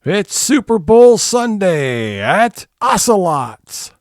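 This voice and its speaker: A man's voice: high-pitched, very animated, slow pace, dry recording, studio quality, soft background music, exaggerated voice. A man reading scripted narration for an audio comedy serial.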